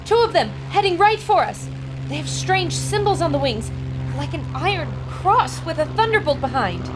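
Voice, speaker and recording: Woman as high-voiced intrepid lady reporter. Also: animated, normal speed, over music, low-quality recording. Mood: alarmed, urgent. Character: intrepid female newspaper reporter aboard a plane, brave and observant. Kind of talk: 1930s radio adventure serial acting.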